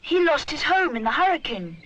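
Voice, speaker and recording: A woman's voice: sits high, sing-song, normal speed, a bit bright, pitched above the performer's own voice, poor audio. A woman voicing a kind, eager young boy who is a bear, speaking politely.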